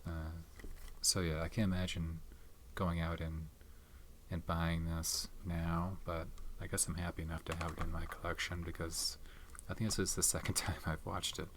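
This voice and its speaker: Soft-spoken low-voiced man, rambling. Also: little pitch movement, moderate pace, a little hiss or hum. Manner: soft-spoken, rambling with many fillers and restarts. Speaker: ASMR channel host reviewing his film collection. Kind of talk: soft-spoken ASMR chat.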